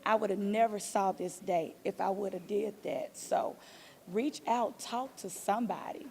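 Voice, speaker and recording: Earnest woman with a creaky voice. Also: mid-range voice, measured pace, dark tone. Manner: earnest, encouraging. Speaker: stage play actor being interviewed. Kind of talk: reflective interview answers.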